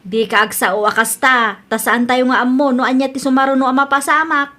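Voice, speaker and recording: High-pitched, nosy Ilocano elder sister, acted by a woman. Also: steady tone, brisk, bright, forceful tone. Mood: disapproving, worried. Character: nosy older sister, disapproving, worried about debts. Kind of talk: Ilocano audio drama dialogue.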